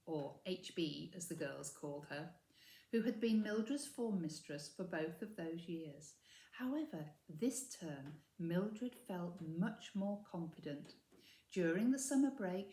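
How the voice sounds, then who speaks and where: A woman reading a children's story aloud with measured, expressive emphasis, recorded in an echoey room. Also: on the low side. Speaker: story reader.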